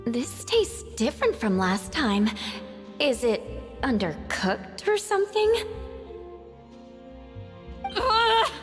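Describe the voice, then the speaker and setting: A fussy woman diner in a video game. She complains in a high, slow, swooping voice, with long reverb around it. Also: very animated, full character voice. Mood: disgusted. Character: fussy, disgusted female diner. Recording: long reverb, music behind.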